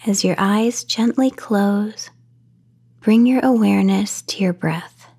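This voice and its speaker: A woman guiding a sleep meditation in a soft, breathy half-whisper, soothing and unhurried, with long pauses. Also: medium pitch. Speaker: meditation guide. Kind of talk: guided sleep meditation narration, soft-spoken. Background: voice only, no music or background noise.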